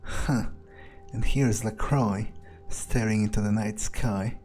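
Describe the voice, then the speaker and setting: A man giving in-character commentary over a video game playthrough, musing in a dry, unhurried way. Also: lowish voice, steady tone, darkish tone, faint music behind. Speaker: gamer playing in character.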